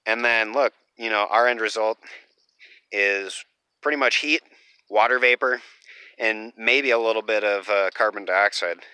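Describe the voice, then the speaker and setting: A young man walking through his half-built homestead, talking casually and rambling with many fillers, in a voice he calls monotone. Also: lowish voice, unhurried, smooth, no room sound, poor audio. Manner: rambling, lots of fillers, self-described monotone. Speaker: homesteader vlogger. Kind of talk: casual off-grid build vlog. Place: half-built earthship and outdoor rooftop.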